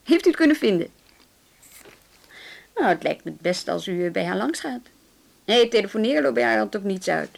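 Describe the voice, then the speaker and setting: An actress in a radio play voicing a brisk, wry female police inspector with a dry, businesslike manner. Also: medium pitch, lively intonation, vocal fry. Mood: wry, chatty. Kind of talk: radio drama acting.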